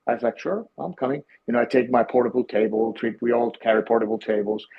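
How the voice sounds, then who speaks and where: Husky Finnish-accented man telling stories. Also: mid-range voice, fairly even intonation. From Finland. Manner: halting, many restarts and fillers. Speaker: guest, former team physiotherapist. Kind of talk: conversational storytelling. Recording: dull, lo-fi sound.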